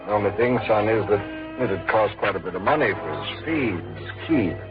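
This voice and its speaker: A middle-aged actor playing a kindly, patient father figure, hesitant and gentle, with an American accent, in old-time radio drama. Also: lowish voice, lively intonation, normal speed, music in the background, lightly acted. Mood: gently reluctant. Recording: dull lo-fi vintage radio recording.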